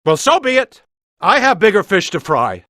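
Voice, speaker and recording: Game role: vindictive, pompous bureaucrat. High, animated voice, outraged and blustering. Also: male voice, moderate pace, dry recording, studio quality. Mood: furious, exasperated. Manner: indignant, blustering, emphatic. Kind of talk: video game voice acting.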